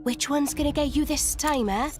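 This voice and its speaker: A woman voicing a sassy, sharp-tongued furry-eared fighter in a video game, sardonic and blunt. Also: high voice, normal speed, close to an everyday voice. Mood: mocking. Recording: loud music under dialogue.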